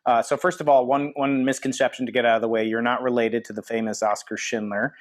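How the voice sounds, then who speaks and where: A man's voice: mid-range voice, little pitch movement, fast talker. A man hosting a podcast interview, speaking earnestly with many fillers and restarts. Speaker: podcast host.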